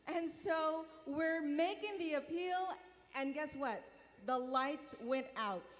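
A middle-aged woman on a convention stage telling a mission testimony into a handheld mic, animated and gesturing. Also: high-pitched, slow. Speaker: mission volunteer and board member. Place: large convention hall stage. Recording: long hall reverb.

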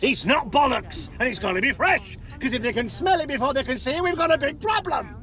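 Self-important fishmonger, middle-aged, high voice, swooping delivery, inside a car. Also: male voice, lively intonation, brisk, sharp tone, full character voice. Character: boastful middle-aged male fishmonger, touchy.

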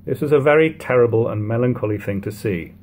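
A man in his thirties reading a classic novel aloud at home, in a measured, steady way, letting sentence ends fall quiet. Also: mid-range voice, a little hiss or hum. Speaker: audiobook reader. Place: living room at home.

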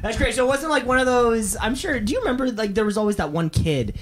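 A podcast host teasing friends playfully at a party, with quick asides. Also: medium pitch, expressive. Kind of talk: casual party chat. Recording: noisy background.

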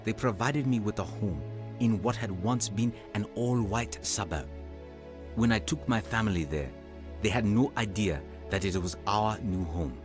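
An actor playing an earnest middle-aged evangelist and devoted son in a radio drama, with measured delivery. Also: male voice, on the low side, music in the background, natural voice. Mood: reflective. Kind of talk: radio drama narration and dialogue.

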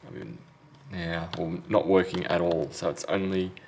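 A man's voice: deep voice, little pitch movement, moderate pace, a little mellow, a little hiss or hum. A man talking casually and matter-of-factly through a hands-on repair tutorial, step by step, as a technician working at a bench. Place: indoor repair workbench.

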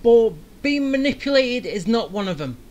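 A woman's voice: a little high, big pitch swings, normal speed, a little mellow, a little hiss or hum. A woman giving casual commentary as she plays through a game story, reacting aloud. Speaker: gamer playing through a story.

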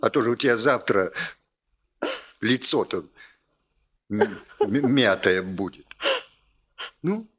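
A slow, fussing homely father. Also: fairly low pitch, expressive, a bit bright, exaggerated voice. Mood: fussing, caring. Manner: halting, rambling, folksy. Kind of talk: Soviet radio play acting.

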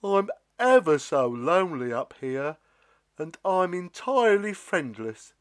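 Male reader playing a gentle storybook giant, shy and pleading, slow, with lilting pitch. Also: sing-song. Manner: pleading, timid, polite.

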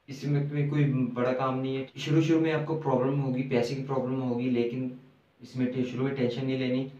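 A young man hosting a business video, explaining casually to camera and filling pauses with "theek hai". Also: medium pitch, darkish tone. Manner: repeats "theek hai" as a filler, gestures with his hands. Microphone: lapel mic. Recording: roomy, distant, dull lo-fi sound.

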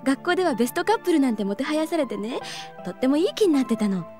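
A voice actress playing a gentle, wistful young woman teacher in an anime audio drama, soft and reflective. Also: high-pitched, big pitch swings, racing, faint music behind.

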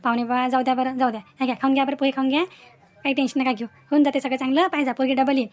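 High-voiced, soothing middle-aged mother played by a woman. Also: little pitch movement, rapid-fire, lightly acted. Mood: placating. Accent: Vidarbha Marathi. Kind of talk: cartoon family drama dialogue.

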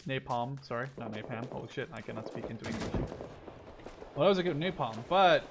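A man's voice: medium pitch, animated, fairly quick. Man commentating over noisy game gunfire. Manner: informal, swearing, thinking aloud. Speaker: gameplay commentator. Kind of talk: casual off-the-cuff game commentary. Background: shooter game gunfire and clicks.

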